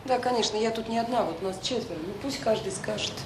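Woman acting firm children's home director, fast talker, stern, audio drama, roomy sound, noisy. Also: fairly high pitch, close to an everyday voice. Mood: firm, restrained. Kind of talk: Soviet radio drama acting. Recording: echoey, noisy radio-play recording.

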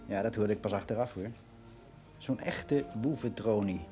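A man's voice: fairly low pitch, moderate pace, warm tone, faint music behind, lightly acted. An actor playing a world-weary middle-aged man who rambles in a frank, confiding way in a radio play monologue. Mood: chatty, rambling. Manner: rambling, confiding, matter-of-fact.

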